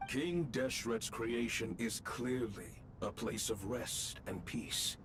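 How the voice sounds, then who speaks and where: An actor playing a solemn, dutiful saint in a video game, speaking gravely and formally. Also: male voice, background noise, close to an everyday voice. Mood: resigned. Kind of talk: theatrical video game acting. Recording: clear rumbling game sound behind.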